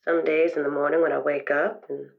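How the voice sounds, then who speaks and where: A woman playing a weary middle-aged therapist who loves gardening, musing haltingly and reflectively in improvised roleplay, gentle with a quiet bitterness. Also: low voice, flat delivery, creaky, narrow, tinny audio. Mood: wistful, gentle. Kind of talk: improvised in-character roleplay for an actual play podcast.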